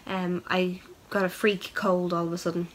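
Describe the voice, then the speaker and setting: A woman's voice: on the low side, little pitch movement, moderate pace, slight background noise, low-quality recording. Chatty woman with a head cold. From Ireland. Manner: chatty, many ums, upbeat asides. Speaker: knitting podcast host. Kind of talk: casual show-and-tell chat.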